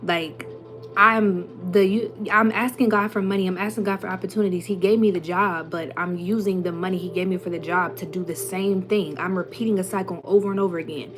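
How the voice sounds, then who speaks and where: A young woman giving a casual, emphatic motivational talk to her viewers, full of slang and little acted-out voices, with soft music playing underneath. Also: lowish voice, fairly even intonation, a little fast. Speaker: spiritual content creator.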